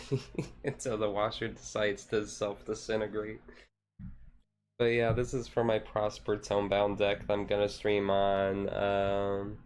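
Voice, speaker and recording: A man chatting casually on a chill livestream, rambling and halting as he goes. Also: on the low side, clear, resonant tone. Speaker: streamer.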